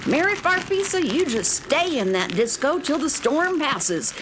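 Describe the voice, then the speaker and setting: A woman's voice: high-pitched, very animated, a little slow, background noise. A woman playing a pompous, dotty, hard-of-hearing alien listener in a comic children's radio drama, theatrical in delivery. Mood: dotty, encouraging.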